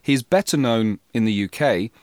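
A man's voice: medium pitch, normal speed, a little mellow, dry recording. A man hosting a podcast in a casual, bantering way as he introduces things. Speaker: podcast host.

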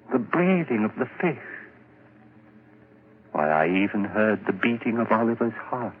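An actor playing a deranged, gentle male killer who insists he hears everything, in 1940s radio acting. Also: on the low side. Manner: pleading, rising to frantic insistence. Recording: tinny old radio broadcast with hiss.

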